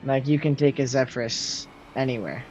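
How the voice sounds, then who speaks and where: A young man in his late teens with a loud, projecting voice, rambling casually to his viewers while he games and answers their messages. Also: medium pitch, moderate pace, a little hiss or hum. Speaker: streamer. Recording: slightly muffled, faint game music behind.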